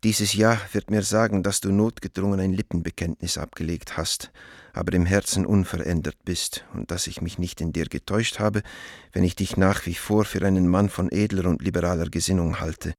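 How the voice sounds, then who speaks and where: Radio play: troubled, earnest letter writer, pleading yet measured, deep register, close dry sound. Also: male voice, steady tone, dry recording. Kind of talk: letter read aloud in radio drama.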